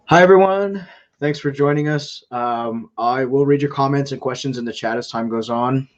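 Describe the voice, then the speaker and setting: A man hosting a casual live stream, talking in a halting way with frequent filler sounds. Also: mid-range voice, dull audio. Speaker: scam-baiting live streamer.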